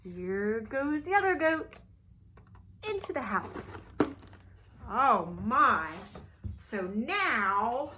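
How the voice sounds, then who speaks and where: Slow, lively woman reading to kids. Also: on the high side, background noise. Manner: animated, playful, invites kids to join in. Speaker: children's librarian storyteller. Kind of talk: storytime read-aloud for kids.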